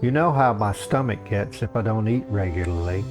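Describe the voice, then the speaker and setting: A man voicing a weary, grumpy old high priest, pompous and grumbling, in a comic fantasy story. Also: normal speed, music in the background, natural voice. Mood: grumpy, resigned. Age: older.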